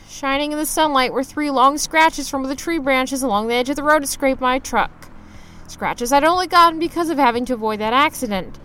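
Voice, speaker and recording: A woman playing an anxious, scrappy young driver who narrates their misadventures in an expressive audio drama. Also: high voice. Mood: dismayed. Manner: expressive, fretful, exclamatory. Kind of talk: dramatised first-person audio fiction narration.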